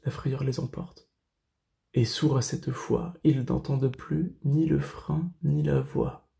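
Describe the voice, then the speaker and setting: Slow, gravelly, solemn actor as a grieving tragic narrator. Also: male voice, mid-range voice, fairly even intonation, dark tone. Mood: horrified. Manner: slow, declamatory, measured phrasing with dramatic pauses. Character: grieving male tutor recounting a horror, solemn. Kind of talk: classical French verse tragedy monologue, self-tape audition.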